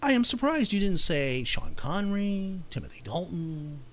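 A man's voice: high-pitched, sing-song, normal speed. A man hosting a podcast, chatting casually and making show announcements. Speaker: podcast host.

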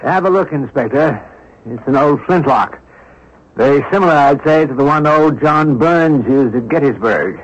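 Glib gentleman thief, middle-aged, higher-pitched male voice, dull radio recording. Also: lively intonation, normal speed. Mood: breezy. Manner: glib, teasing, unflappable. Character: wily middle-aged career thief, glib and cheerful, newly retired. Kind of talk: 1970s radio drama acting.

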